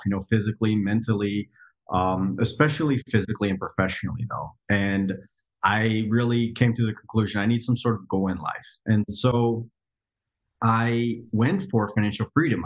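Low-voiced guy in his 30s, stops and restarts a lot, kinda muffled lo-fi audio. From the Netherlands. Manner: reflective, halting, with many restarts. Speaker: podcast guest, software developer. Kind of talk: casual interview storytelling.

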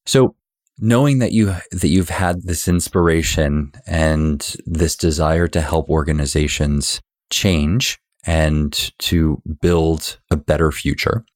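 Low-voiced male podcast host, dry sound. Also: takes it slowly, no echo. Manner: earnest, scripted intro then conversational questions. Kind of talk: workplace podcast interview.

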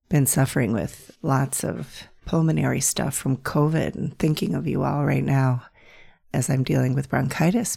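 A middle-aged woman with a low, croaky voice, hoarse from a bout of bronchitis so her words crack and rattle. She talks slowly and gently, telling stories with a playful warmth. A relaxed solo podcast host. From north central Pennsylvania. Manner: gentle, playful storytelling with soft laughs. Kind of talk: reflective solo podcast monologue.

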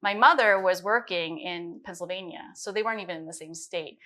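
A woman's voice: fairly low pitch, a little fast, fairly bright tone. A woman in her late thirties, born in China and raised in the United States, giving a reflective, detailed account of her life as an interview guest. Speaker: guest, chief science officer. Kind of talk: conversational interview.

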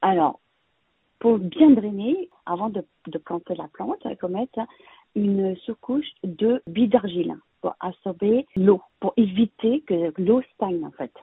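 A woman's voice: mid-range voice, expressive, unhurried, husky, no room sound. A woman of Vietnamese heritage living in France, giving plant-care advice as an invited expert, speaking hesitantly with many fillers and restarts. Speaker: interior plant decorator, guest expert. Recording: dry, phone-like narrow sound.

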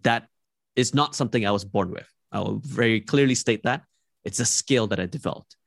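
Low-voiced thoughtful man. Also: creaky, no echo, studio quality. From Malaysia. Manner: thoughtful, measured, frequent "like" and restarts. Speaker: podcast guest. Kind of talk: reflective interview answers.

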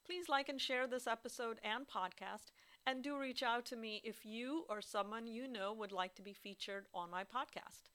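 A woman's voice: on the high side, normal speed, fairly bright tone, clean tone. A woman podcast host reading a scripted show introduction.

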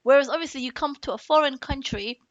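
A woman with a British accent, from the United Kingdom, hosting a casual interview and warmly summing up her guest's points. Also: high voice, fast, no room sound. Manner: engaged, affirming, sums up the guest's points. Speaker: host. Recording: dull lo-fi, dry sound.

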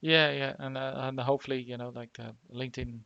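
A man hosting a casual remote interview, talking haltingly with lots of fillers and restarts. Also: medium pitch, little pitch movement, creaky, bright, forceful tone, clear, resonant tone, no room sound, highs cut off. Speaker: podcast host.

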